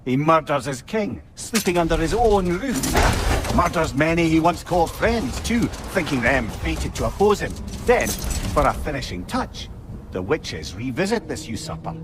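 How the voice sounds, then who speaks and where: An actor with a Scottish accent playing a wry, learned old advisor in a video game, eloquent and theatrical. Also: male voice, fairly high pitch, big pitch swings, a little slow, very noisy. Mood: relishing, theatrical. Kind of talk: dramatic video game acting.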